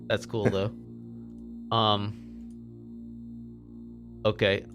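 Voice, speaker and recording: A man playing a tabletop roleplaying game, improvising casually and haltingly as he speaks for his character. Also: on the low side, flat delivery, over music.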